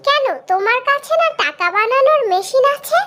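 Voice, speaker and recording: A very high, squeaky cartoon child, with a Bangladeshi accent. She is a cheeky, pushy little girl who chatters and whines insistently. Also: animated, normal speed, pushed and bright, heavily acted. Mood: demanding. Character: pushy, cheeky young girl begging for Eid shopping money. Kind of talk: comic cartoon dialogue.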